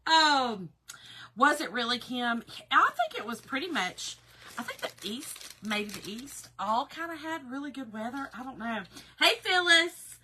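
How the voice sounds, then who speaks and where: A middle-aged woman with a Southern Georgia accent, a little hoarse, hosting a craft livestream in a folksy, chatty way. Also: high voice, very animated, a little slow, sharp tone, a little hiss or hum. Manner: folksy and chatty, calling viewers "girl" and "y'all". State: slightly hoarse. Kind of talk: casual live-stream chat greeting viewers.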